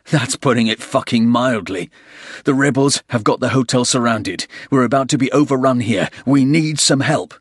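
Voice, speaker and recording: A man's voice: medium pitch, normal speed, husky, no room sound, studio quality, voice pushed up, close to an everyday voice. A man voicing a blunt, sardonic British soldier under fire in an audiobook thriller. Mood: urgent, exasperated.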